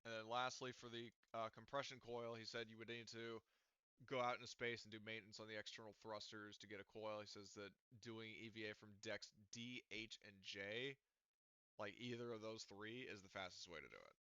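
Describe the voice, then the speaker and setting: A man's voice: lowish voice, brisk, pushed and bright, clear, resonant tone, no echo. A man running a tabletop game as game master, talking casually with lots of fillers and swearing. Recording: dull lo-fi audio.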